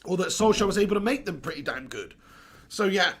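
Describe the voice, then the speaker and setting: A hoarse man hosting a casual fan live stream, rambling and repeating himself as he talks. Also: high voice, expressive, brisk. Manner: rambling, repeats himself, reads viewer comments aloud.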